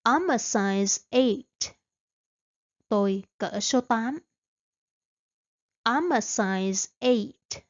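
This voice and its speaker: A woman reading short English practice phrases slowly and repeating them, with Vietnamese translations between repetitions. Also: a little high, animated, muffled recording. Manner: very slow, evenly spaced phrases.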